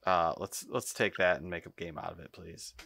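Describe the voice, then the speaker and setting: A man's voice: deep voice, steady tone, moderate pace, vocal fry, sharp tone, no room sound, studio quality. A man co-hosting a podcast, chatting casually and informally. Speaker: podcast co-host.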